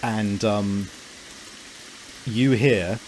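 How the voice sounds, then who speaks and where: A man improvising scene descriptions as a game master, halting as he searches for words. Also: fairly low pitch, a little mellow, slight background noise, rough recording. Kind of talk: improvised tabletop game narration.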